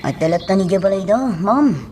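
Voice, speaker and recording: A woman's voice: medium pitch, expressive, fairly quick, lightly acted. Chatty Ilocano fish-delivery woman played by an actress, noisy background. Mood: deferential. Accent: Filipino, Ilocano. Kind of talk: radio drama acting.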